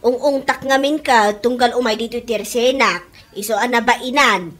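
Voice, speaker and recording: An actress playing a fed-up, scornful gossiping neighbour in a comedy radio drama, with an Ilocano accent. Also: female voice, a little high, normal speed, background noise, natural voice.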